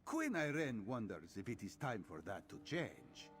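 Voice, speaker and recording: A sly cat-man spy from a fantasy game. His delivery is theatrical and smooth, with pitch that swings very widely, and music plays behind him. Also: big pitch swings, normal speed. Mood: suave, sly. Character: suave cat-man spy agent of a queen, speaks in third person. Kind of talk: scripted game dialogue.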